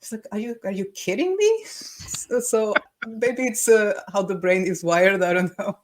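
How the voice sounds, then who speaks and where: A woman telling an anecdote casually in a book discussion, haltingly, repeating words as she goes. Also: medium pitch, lively intonation.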